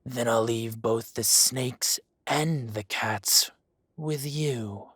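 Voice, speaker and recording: A smug, husky dragon crime boss. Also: male voice, medium pitch, a bit bright, no room sound, studio quality, heavily acted. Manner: slow, smug, teasing, drops to whispers. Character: smug dragon mafia boss, teasing and menacing. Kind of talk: audio roleplay acting.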